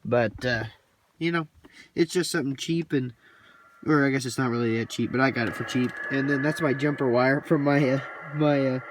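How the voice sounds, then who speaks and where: A man's voice: medium pitch, moderate pace. Folksy man over noisy background. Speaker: CB radio hobbyist vlogger. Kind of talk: casual handheld vlog talk. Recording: noisy hiss and radio clicks.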